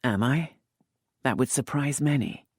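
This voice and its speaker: A woman narrator voicing a teasing, wry young earl in an audiobook, speaking dry and measured. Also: rumbling low, a little mellow, husky, dry recording, full character voice. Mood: gentle.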